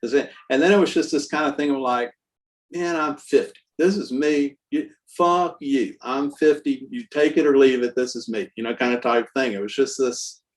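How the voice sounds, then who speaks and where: A man in his sixties, a guest artist and musician, telling stories in a relaxed, rambling way with lots of fillers. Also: medium pitch, normal speed. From Texas. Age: 60s. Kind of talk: casual conversational interview.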